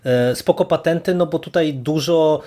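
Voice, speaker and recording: Man talking in halting, choppy phrases. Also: a little high, dark tone. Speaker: podcast host. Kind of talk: casual review discussion.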